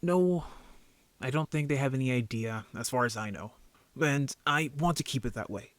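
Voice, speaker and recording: A man playing an injured young werewolf, a defensive, easily embarrassed brother who talks casually and haltingly in an audio drama roleplay. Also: medium pitch, expressive, fairly bright tone, no echo, natural voice. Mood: earnest, defensive.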